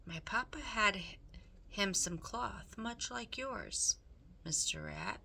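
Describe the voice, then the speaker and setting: A woman's voice: medium pitch, lively intonation, takes it slowly, vocal fry, sharp tone, a little hiss or hum, voice pushed up, heavily acted. Woman voicing a naive, blunt storybook servant girl. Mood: chatty. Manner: blunt, folksy, exclamatory speech. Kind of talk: storybook character read aloud.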